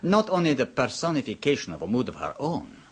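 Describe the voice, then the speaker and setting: An actor playing Luigi, a suave, worldly host who teases his guests in a smooth, theatrical manner. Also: mid-range voice, very animated, fast talker. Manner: smooth, teasing, theatrical with chuckles. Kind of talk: 1970s radio drama acting. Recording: old radio broadcast.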